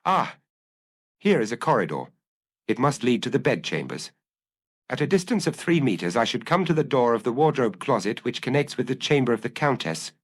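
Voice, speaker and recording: Suave gentleman thief for a detective audiobook, urbane, self-satisfied, swinging pitch; male narrator acting it out. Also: very animated, a little fast. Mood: brisk, pleased. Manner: urbane, drily amused, self-congratulating. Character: suave, self-assured gentleman burglar musing to himself.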